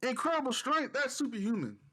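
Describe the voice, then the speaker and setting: A man's voice: extremely high pitch, big pitch swings, brisk, sharp tone. A man co-hosting a casual podcast, telling stories in a joking, slangy and profane way. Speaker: podcast co-host. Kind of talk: casual banter.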